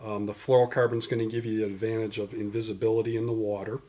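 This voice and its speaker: A man's voice: lowish voice, level tone, fast, warm tone. A man in his fifties, a guest from a tackle company, explaining fishing gear in detail in a studio interview. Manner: detailed, explanatory, gesturing with products in hand. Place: indoor studio in front of a banner.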